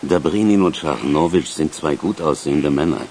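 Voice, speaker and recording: Radio crime drama: provincial detective, deep bass voice, slight background noise. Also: male voice, moderate pace, darkish tone. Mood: speculative.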